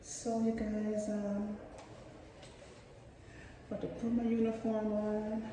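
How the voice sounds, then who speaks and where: A woman's voice: medium pitch, background noise. A woman in her thirties vlogging casually to her viewers from a tiled restroom at work, calling them "you guys" as she gets ready for her shift. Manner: chatty, addresses viewers as you guys, relaxed and unhurried. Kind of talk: casual vlog talk to camera. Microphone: phone camera propped at a distance. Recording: echoey tiled room, voice from far off.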